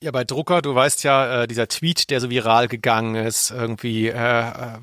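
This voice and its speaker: A man co-hosting a podcast in casual chat, with a halting, understated way of talking. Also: mid-range voice, little pitch movement, fairly bright tone, dry recording, clean studio sound. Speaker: podcast co-host.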